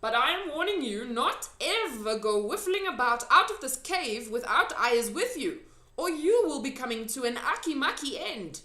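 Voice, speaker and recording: A woman's voice: high-pitched, very animated, normal speed, sharp tone, pitched above the performer's own voice, full character voice. A woman voicing a big, friendly, earnest male giant from a children's storybook, emphatic and drawn out, with muddled grammar. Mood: stern warning. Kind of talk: children's storybook character reading. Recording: echoey room.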